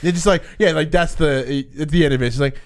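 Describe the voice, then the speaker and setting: A man co-hosting a casual podcast, talking in a playful, excitable way full of exclamations. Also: on the high side, brisk. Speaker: podcast co-host.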